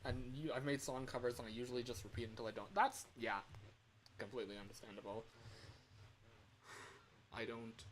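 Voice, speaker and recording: A man talks casually to a livestream at an ordinary mid pitch. He rambles along and pokes fun at himself. A faint, steady fan hum sits under his voice. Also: brisk, long pauses. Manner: rambling, self-deprecating. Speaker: livestreamer recording game voice lines.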